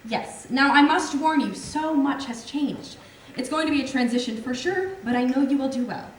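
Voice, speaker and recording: A woman's voice: high voice, lively intonation, moderate pace. An actress in a staged reading playing a reassuring, upbeat woman doctor of a Martian colony. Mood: proud, welcoming. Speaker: musical theatre actress. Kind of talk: staged reading, theatrical dialogue. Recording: echoey theatre, distant mic, faint noise.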